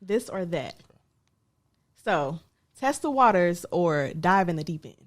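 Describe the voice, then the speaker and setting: A woman's voice: fairly low pitch, sing-song, unhurried. A woman hosting a casual interview, upbeat and encouraging, with frequent affirming backchannels. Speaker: host. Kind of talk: casual interview, quiz game.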